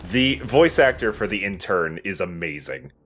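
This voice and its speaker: Lively, low-pitched male gameplay commentator. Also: moderate pace. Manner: joking, conversational. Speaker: let's play commentator.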